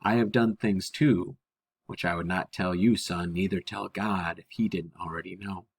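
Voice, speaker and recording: A man's voice: low-pitched, fairly even intonation, normal speed, pitched below the performer's own voice. A man voicing a stern but kindly older working woman in a storybook reading, with a folksy, blunt way of talking. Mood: frank, kindly. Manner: folksy, blunt, nonstandard grammar.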